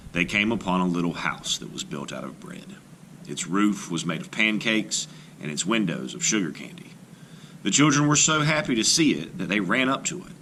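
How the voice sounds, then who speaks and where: Lively, bright male storybook reader. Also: low-pitched, slight background noise, poor audio. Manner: expressive, rising and falling with the tale. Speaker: teacher reading a story aloud. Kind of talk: storybook read-aloud narration.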